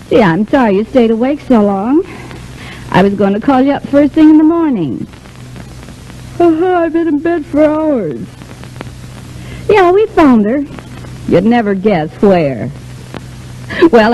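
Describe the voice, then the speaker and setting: An actress playing a quick-thinking, bossy, protective showgirl friend in a vintage radio soap, talking briskly and chattily. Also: fairly high pitch, dark tone. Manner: brisk, chatty, takes charge. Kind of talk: 1930s-40s radio soap opera acting. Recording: muffled, hissy vintage radio recording.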